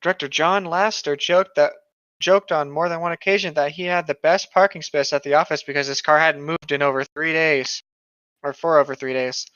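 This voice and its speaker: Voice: mid-range voice, steady tone, a little fast, fairly bright tone, dry recording. A co-host reading internet horror stories aloud and reacting casually, on a dull lo-fi microphone.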